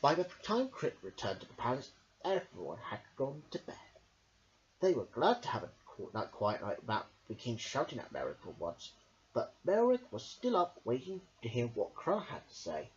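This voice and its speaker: A woman reading a children's story aloud in a theatrical, expressive way. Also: rumbling low, moderate pace, a little hiss or hum. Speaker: story reader. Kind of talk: dramatic children's storybook narration. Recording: dull lo-fi home recording.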